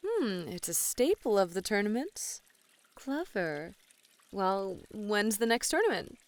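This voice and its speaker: A woman's voice: medium pitch, big pitch swings, slow, dry recording. A woman playing a flirty, playful model and gamer in an ASMR roleplay, with a sweet-talking, teasing way of speaking. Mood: eager, pleased.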